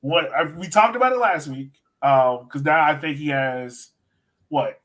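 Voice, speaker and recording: A man's voice: fairly high pitch, a little slow, fairly bright tone. A man hosting a sports podcast, chatting casually and emphatically about soccer in an informal way. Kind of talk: casual chat, soccer analysis.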